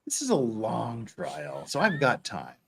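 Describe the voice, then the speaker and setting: A man hosting a dramatic reading of courtroom dialogue, theatrical and animated, with casual asides. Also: mid-range voice, unhurried, gravelly, a little hiss or hum.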